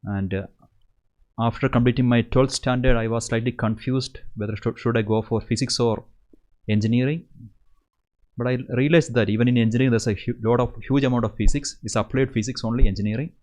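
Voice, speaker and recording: A man's voice: mid-range voice, fairly even intonation, brisk, a little mellow. A young man from Kerala with a Malayali Indian English accent, hosting a casual livestream and answering viewer questions in an earnest way, often repeating words as he restarts a sentence. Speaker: host of an engineering channel.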